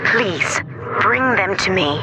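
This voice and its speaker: An actress playing a devoted, resolute young daughter, gentle and sheltered, speaking softly and deliberately in a dramatic video game. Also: female voice, mid-range voice, animated, very noisy. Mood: sorrowful, earnest.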